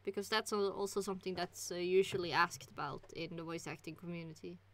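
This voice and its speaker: A woman with a bright, forward tone hosts a relaxed stream chat. She hums and muses between questions and keeps the talk easygoing. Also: fairly low pitch, brisk. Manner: casual and chatty, with frequent laughs and hums. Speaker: stream host. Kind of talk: casual livestream chat.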